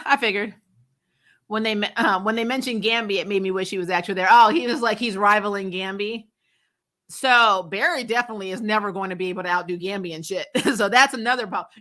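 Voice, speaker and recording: A woman hosting a casual TV review chat, talking in an animated, sarcastic, exasperated way with blunt opinions and casual swearing. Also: mid-range voice. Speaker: host of a TV show review channel. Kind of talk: casual spoiler recap chat, reading and answering viewer comments.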